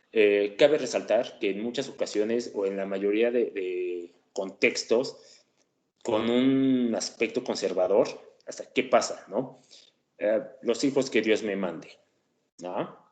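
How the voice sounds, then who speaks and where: A man's voice: fairly low pitch, darkish tone, echoey room. A man explaining an education topic in a lecture, talking casually and checking in with tag questions. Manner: conversational, checks in with frequent tag questions and fillers. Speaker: teacher presenting a class topic.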